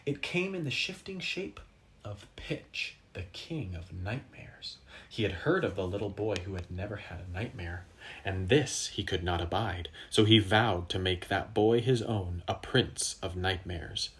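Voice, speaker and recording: A man reading a children's story aloud expressively, with dramatic pauses for effect. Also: low voice. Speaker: storybook reader.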